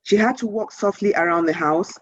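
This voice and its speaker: Woman storyteller, middle pitch, children's read-aloud, measured and expressive, dry close sound, slightly lo-fi. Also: dry recording. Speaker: author reading aloud.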